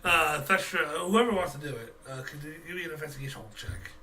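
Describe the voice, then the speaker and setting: A man's voice: fairly high pitch, fast talker, pushed and bright. A man running a tabletop game, improvising narration and rulings as game master. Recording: distant mic, faint background noise.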